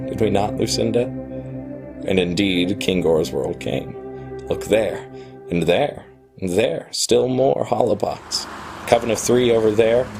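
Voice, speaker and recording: An actor playing an obsessive, wistful programmer in love, in a radio play. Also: male voice, fairly low pitch.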